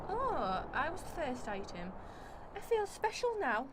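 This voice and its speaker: A woman's voice: sits high, sing-song, measured pace, a loud crowd. A woman acting a sulky, sarcastic winter spirit in a comedic radio drama, complaining and easily offended. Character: Winter, sarcastic sulky female season, quick to take offence.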